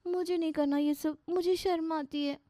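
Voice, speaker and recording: A woman's voice: very high voice, steady tone, moderate pace, warm tone, no room sound, studio quality, voice pushed up. A woman voicing a shy, pious young Indian bride in a story, demure and hesitant. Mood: shy, reluctant.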